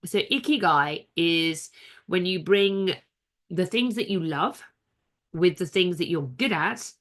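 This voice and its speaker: A woman's voice: lowish voice, slow pace. A woman giving an online seminar in a chatty, rambling way, sounding nasal from a cold. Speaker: seminar coach.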